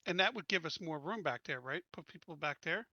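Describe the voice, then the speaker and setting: A woman with a bright, forceful voice plans out moves as a tabletop player. Her talk is casual, on a dry and slightly muffled recording. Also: deep voice, expressive, fairly quick, dry recording. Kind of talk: casual game planning chat.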